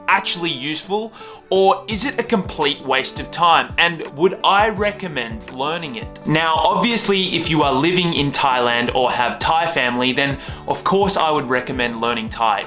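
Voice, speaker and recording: A man's voice: a little high, bright, forceful tone. A man hosting a casual explainer vlog, wry, joking and opinionated. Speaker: YouTuber.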